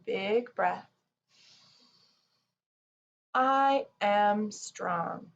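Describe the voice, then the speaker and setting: A woman speaking to children in a gentle, measured, soothing way, as in a read-aloud or guided relaxation. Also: mid-range voice. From Canada. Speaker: children's yoga teacher reading and guiding relaxation. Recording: slightly dull sound, faint noise.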